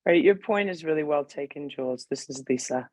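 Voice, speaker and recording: A former clinician, a woman with a hoarse voice, speaking in a measured, agreeable way in an online meeting. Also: deep voice, a little mellow, no room sound. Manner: measured, agreeable, with many fillers. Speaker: former clinician on a global health team. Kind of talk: video call meeting discussion. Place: home room indoors.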